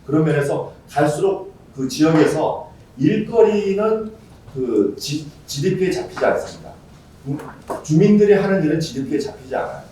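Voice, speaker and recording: A middle-aged man giving formal discussion remarks at a conference, earnest and measured, with many fillers and restarts. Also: a little high, dark tone. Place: large conference hall. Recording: very echoey hall, voice from far off, faint room hum.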